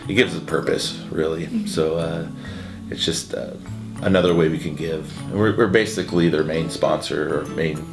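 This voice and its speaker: A man in his forties, seated indoors by a window, answering interview questions in a halting way, full of restarts and uhs. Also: a bass voice, creaky, reverberant. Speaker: event co-founder. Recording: music bed underneath.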